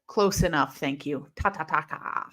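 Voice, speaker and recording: A woman from Illinois hosting a live wrestling watch-along, chatting casually and rambling, with intense, sharp S sounds left from a lisp. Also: deep voice, moderate pace. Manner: chatty and rambling, heavy use of "like". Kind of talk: casual live-stream chat reading comments.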